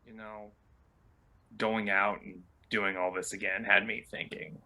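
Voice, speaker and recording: A man's voice: fairly low pitch, level tone, a little slow, bright, forceful tone, exaggerated voice, poor audio. A man playing an awkward, earnest middle-aged costumed vigilante in a fan-made drama, hesitant and stammering. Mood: tentative, resolved. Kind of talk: fan-made dramatic voice acting over video call.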